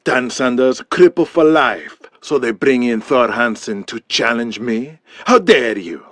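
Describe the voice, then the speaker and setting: An actor playing a boastful, arrogant villain wrestler who speaks in broken English in an audio drama. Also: male voice, mid-range voice, animated, unhurried, no echo, natural voice. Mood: ranting boastful.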